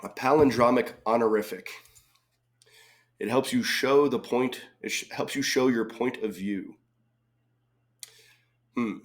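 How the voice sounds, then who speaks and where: A man with a lowish voice, a little hoarse from being sick, talks slowly and unhurriedly. He reads clues aloud and muses over his guesses in a relaxed, thinking-aloud way. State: slightly hoarse from a cold. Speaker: crossword solving video host. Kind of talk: casual live solve, thinking aloud.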